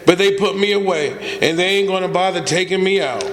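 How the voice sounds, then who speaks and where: An actor playing a despairing, resigned young male prisoner with rough, uneducated speech in a radio drama. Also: sits high, brisk, noisy background, close to an everyday voice. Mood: resigned, bitter. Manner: plain uneducated speech with double negatives. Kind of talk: old-time radio drama acting. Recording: echoey hall stage recording.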